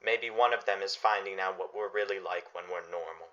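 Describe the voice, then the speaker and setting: A man playing a disillusioned middle-aged householder in a radio play, brooding and halting. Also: low-pitched, fairly bright tone. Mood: shaken, brooding. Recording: dull lo-fi audio.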